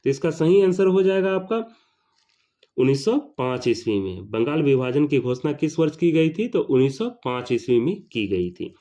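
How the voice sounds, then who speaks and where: A man's voice: a little high, very animated, moderate pace, mellow. A man teaching an exam question-and-answer lesson, repeating questions and answers for emphasis. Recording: slightly dull lo-fi sound.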